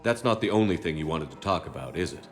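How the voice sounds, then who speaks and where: A man playing a stern, steady space military commander in a video game, with measured, terse and authoritative delivery. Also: rumbling low, soft background music. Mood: reassuring. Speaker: game protagonist. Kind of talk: cinematic video game acting.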